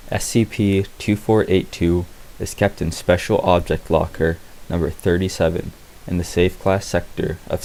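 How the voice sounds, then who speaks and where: Man, deep voice, gravelly fry, clinical scripted narration, deadpan, formal, light background hiss. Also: rough recording. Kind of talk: scripted narration of a fictional containment report. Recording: faint hiss behind the voice.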